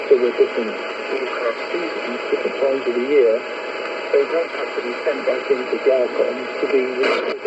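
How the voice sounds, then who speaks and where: A man giving measured explanations as a guest in a radio program conversation. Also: mid-range voice. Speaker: interview guest, radio broadcaster. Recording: muffled, very noisy hissy shortwave radio. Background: loud radio hiss and static.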